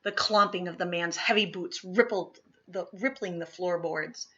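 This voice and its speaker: A woman reading a children's picture book aloud expressively, with deliberate phrasing, dramatic pauses and emphasis. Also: on the low side, pushed and bright, highs cut off. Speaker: read-aloud storyteller.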